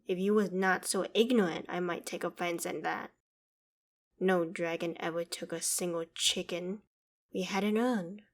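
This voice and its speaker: A woman's voice: fairly low pitch, natural voice. Proud, imperious ancient dragon, acted by a woman. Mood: indignant. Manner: formal archaic diction, imperious, theatrical. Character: proud ancient female dragon, haughty but fond of humans. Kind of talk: scripted audio roleplay monologue.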